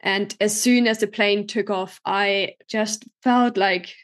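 A woman's voice: medium pitch, takes it slowly. Upbeat German-accented woman hosting a podcast. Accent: German, with British English touches. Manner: upbeat, chatty, energetic. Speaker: podcast host and coach. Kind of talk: casual solo podcast storytelling.

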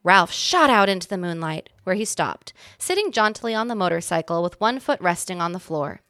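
A woman's voice: lowish voice, animated, brisk, a bit bright, no echo, studio quality. A woman narrating a children's storybook expressively as a guest reader.

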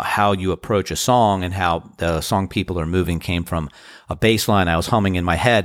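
Low-voiced man with tired post-show voice. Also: no echo, clean studio sound. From Washington DC area. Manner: earnest, rambling, grateful storytelling. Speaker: podcast host and band musician. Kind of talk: casual reflective monologue.

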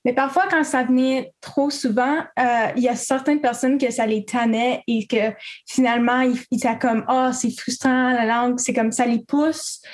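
A woman's voice: on the high side, steady tone, moderate pace. A young woman from Quebec, answering as a student panelist in a casual, hesitant way with many fillers.

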